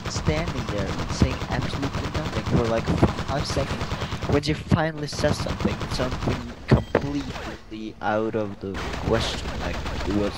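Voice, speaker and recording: A man's voice: medium pitch, heavy background noise. A young man chatting casually and telling anecdotes in a rambling, giggly way. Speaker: co-host of a casual gaming video.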